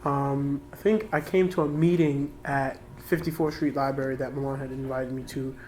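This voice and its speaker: A man's voice: medium pitch, fairly even intonation, moderate pace, darkish tone. An eighteen-year-old man from New York City, a youth organizer talking casually about himself in an interview. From New York City, United States.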